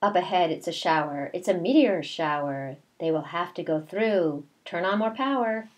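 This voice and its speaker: A middle-aged woman, a kindergarten teacher, reading a picture book aloud in a deliberate, expressive way with asides to young children. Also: lowish voice. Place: small bedroom at home, indoors.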